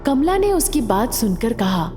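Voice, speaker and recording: A woman's voice: fairly high pitch, very animated, a little slow, warm tone, big-room sound, faint music behind. A woman narrating a scripted story as a storyteller.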